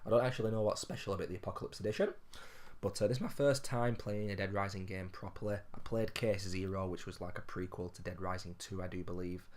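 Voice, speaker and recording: Hoarse, gruff low-voiced man. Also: steady tone, quick pace. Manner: chatty, addresses viewers as ladies and gentlemen. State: hoarse, losing his voice. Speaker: gaming vlogger. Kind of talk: casual game pickups vlog.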